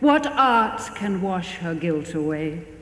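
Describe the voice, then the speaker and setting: A man's voice: high-pitched, big pitch swings, slow pace, pushed and bright. A man reciting poetry on the stage of a large hall. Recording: echoey reverberant hall.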